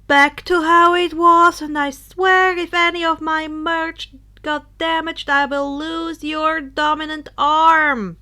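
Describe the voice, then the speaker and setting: A woman's voice: high voice, steady tone, slow pace, few pauses, full character voice. A woman voicing a threatening, angry teenage boy with uncanny powers in a fan fiction reading. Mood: furious threat. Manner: threatening, forceful.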